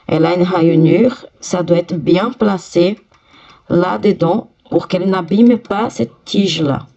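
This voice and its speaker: Slow, gravelly woman with a cold. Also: on the low side, dark tone, dull audio. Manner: slow, careful, talks viewers through each step. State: has a cold, stuffy voice. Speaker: sewing machine instructor. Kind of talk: step-by-step workshop demonstration.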